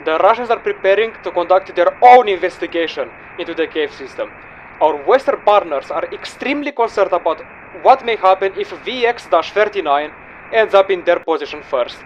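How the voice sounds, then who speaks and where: A stern male mission handler, acted by a man with a high voice for a man. He briefs formally and curtly in a game audio log, businesslike and unyielding, over faint background noise. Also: expressive, a little fast, highs cut off. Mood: firm, serious.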